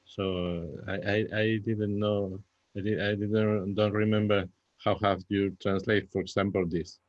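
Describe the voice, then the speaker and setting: Casting: male playwright, native Spanish speaker, lowish voice, hesitant English, slow delivery in a Q&A. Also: flat delivery, no echo, dull audio. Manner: halting, searching for English words. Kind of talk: Q&A discussion.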